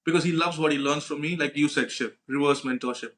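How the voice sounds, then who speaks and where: A man's voice: fairly high pitch, fairly even intonation, quick pace, fairly bright tone. A young man telling casual anecdotes as a podcast co-host, slangy and sweary, acting out conversations and often checking in with "right?". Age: young adult. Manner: informal, slangy, acts out dialogue, frequent "right?" tags. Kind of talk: casual anecdotal storytelling with profanity.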